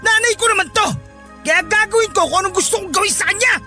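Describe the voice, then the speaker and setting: A woman's voice: very high voice, fast, music in the background. A woman playing a bratty, abusive grown child in a Tagalog radio drama, snarling insults at a parent. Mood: furious, defiant. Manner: snarling, insulting, shouted outbursts. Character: bratty, abusive grown child bullying their own mother.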